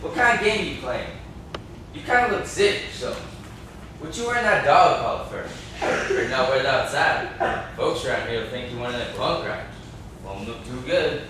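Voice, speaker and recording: A man's voice: medium pitch, animated, normal speed, pauses often, pushed and bright. A young man playing a simple, chatty country neighbour in an amateur stage play, with a naive, inquisitive, folksy manner. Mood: puzzled, curious. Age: young adult. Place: classroom-like room, indoors. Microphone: far camera. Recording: echoey room, distant camera mic, faint noise.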